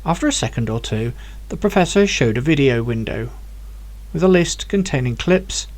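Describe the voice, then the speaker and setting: Man narrating his own audiobook. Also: a little high. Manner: clear, expressive storytelling. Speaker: author reading his own book.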